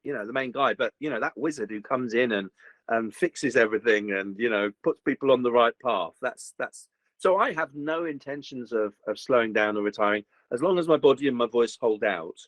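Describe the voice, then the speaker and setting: An older man, a voice actor chatting in an interview, slightly gruff, rambling with many fillers and restarts. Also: mid-range voice, brisk, a bit bright, no room sound, poor audio. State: slightly gruff after a recent virus. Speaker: voice actor guest. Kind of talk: casual interview chat.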